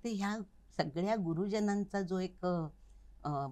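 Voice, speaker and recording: A woman's voice: mid-range voice, slow pace, a crowd in the background. An older woman, talking reflectively as an interview guest in an earnest, instructive manner, stressing her key points. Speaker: interview guest, Kathak dance teacher. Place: recording studio.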